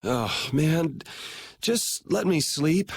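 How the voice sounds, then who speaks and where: Grumbling, lively-pitched young cynic. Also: male voice, very slow, creaky, breathy edge, heavily acted. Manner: casual, exasperated. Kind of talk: video game voice acting.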